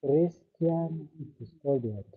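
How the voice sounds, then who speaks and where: Guy on a webinar call, kinda high voice, talks real slow, sounds tinny like a phone. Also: slightly rough. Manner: slow, measured, with hesitant fillers. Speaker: webinar panelist. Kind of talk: remote webinar contribution. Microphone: video call.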